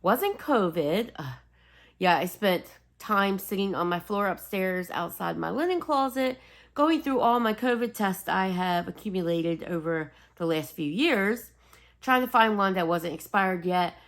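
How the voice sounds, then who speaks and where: A woman vlogger chatting casually and rambling apologetically, slightly raspy and sniffly as she gets over a cold. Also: medium pitch, lively intonation, measured pace. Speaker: host of a home fragrance video channel. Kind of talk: casual rambling vlog chat.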